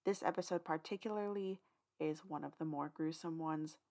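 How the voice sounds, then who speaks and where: A woman's voice: deep voice, normal speed, a little mellow. A woman presenting a podcast, giving an informal spoken disclaimer.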